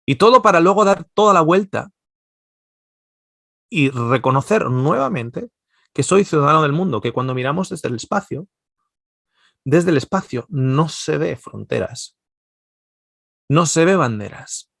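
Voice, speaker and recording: Gravelly Spanish man, animated speaker. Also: fairly high pitch, measured pace, pauses often, no echo, studio quality. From Spain, Basque Country. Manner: animated, digressive, many restarts and stammers. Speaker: seminar speaker. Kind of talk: religious seminar talk.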